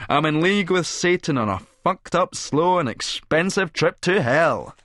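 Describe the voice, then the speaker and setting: Casting: man to play scheming Scottish chancer, wired and cheeky, sly patter, pitched up, for a novel reading. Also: expressive, a little slow, fairly bright tone, no echo, clean studio sound. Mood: cocky, manic. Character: cocky, scheming Scottish drug-fuelled male schemer on phone.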